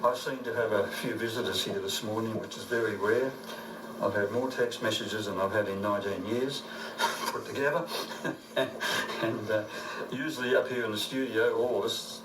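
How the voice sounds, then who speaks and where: An older man with an Australian accent hosts a community radio show. His delivery is easygoing and gentle, rambling along in halting phrases as he chats live on air. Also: lowish voice, reverberant, noisy background.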